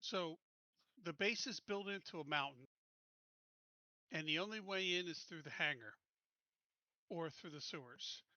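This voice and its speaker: Male player, sharp and forceful higher voice, casual stop-and-start planning, dry. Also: a little slow, pauses often, no room sound, lo-fi sound. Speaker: tabletop game player. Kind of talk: casual game planning talk.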